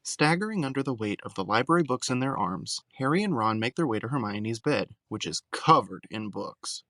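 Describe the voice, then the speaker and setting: Guy narrating audio play scenes, medium pitch, dry close recording. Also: lively intonation, normal speed, fairly bright tone, no room sound. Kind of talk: scripted present-tense audio drama narration.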